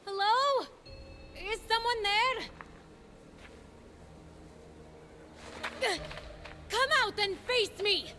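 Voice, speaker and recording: A woman playing an eager, plucky young mortal hero in a video game, excitable and earnest, with music behind her. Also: extremely high pitch, slow, exaggerated voice. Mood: wary. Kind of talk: animated video game voice acting.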